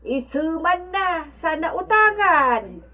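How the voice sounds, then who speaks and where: High, animated, gossipy storekeeper woman. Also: slow, heavily acted. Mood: disapproving. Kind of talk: Ilocano radio drama acting.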